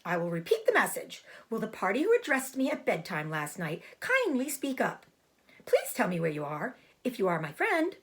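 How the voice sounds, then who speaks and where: A woman's voice: medium pitch, wildly swooping pitch, moderate pace. A woman voicing a polite, earnest young male pig, anxious and hopeful, in a storybook reading. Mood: formal, firm. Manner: formal, earnest announcing. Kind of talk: storybook character voice.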